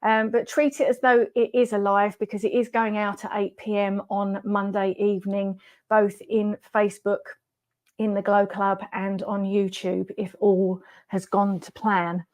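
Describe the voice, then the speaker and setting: A woman's voice: mid-range voice, level tone, measured pace, a little mellow. A middle-aged woman coaching in a chatty pre-recorded live video, nasal from a head cold and using lots of fillers. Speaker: wellness coach.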